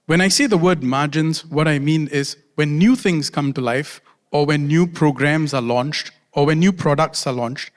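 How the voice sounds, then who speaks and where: A man from Singapore gives a friendly, self-deprecating conference talk as a doctor and consultant, slowing down to repeat key phrases. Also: fairly high pitch. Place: large conference hall. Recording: reverberant conference hall.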